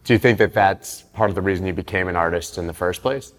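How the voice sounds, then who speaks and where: A man's voice: sits low, fast, big-room sound. A man interviewing an artist, asking short prompting questions. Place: large indoor art space.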